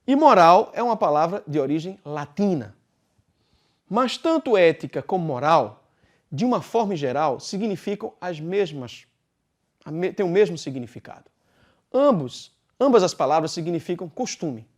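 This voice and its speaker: A man with a Brazilian Portuguese accent teaching a philosophy class, speaking deliberately and posing questions he then answers himself. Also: on the high side, very animated, darkish tone. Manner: deliberate, poses rhetorical questions and answers them. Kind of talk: recorded class lecture.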